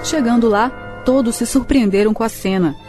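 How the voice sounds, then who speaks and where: A woman's voice: a little high, normal speed, over music. A woman with a Brazilian accent narrating a scripted radio drama.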